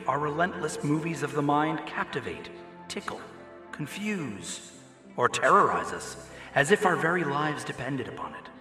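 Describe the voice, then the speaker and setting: A man playing the theatrical, deliberate storyteller of a mysterious dream tale in a radio drama. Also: mid-range voice, bright, forceful tone, reverberant. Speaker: narrator. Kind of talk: scripted radio drama narration.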